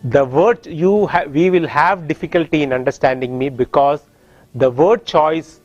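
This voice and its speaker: A man from India with an Indian accent, teaching a course and explaining technical points with frequent pauses and restarts in a classroom. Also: a little high, a little mellow, dry recording, muffled recording. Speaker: course instructor. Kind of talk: classroom lecture.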